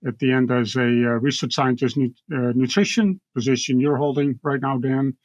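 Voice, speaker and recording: Man, Dutch accent, mid-pitched voice, halting explanatory delivery, professor as interview guest, dry muffled recording. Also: steady tone, darkish tone, dry recording. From Netherlands. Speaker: guest expert, university professor.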